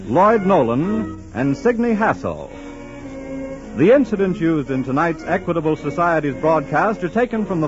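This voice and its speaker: A man announcing for a sponsor in an emphatic, formal, polished 1940s radio style. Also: high-pitched. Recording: muffled 1940s radio broadcast.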